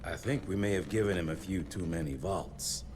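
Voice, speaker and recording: An actor playing a wry, cautious sidekick in a video game, with a dry manner. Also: male voice, low-pitched, moderate pace, over music, lightly acted. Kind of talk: video game cutscene acting.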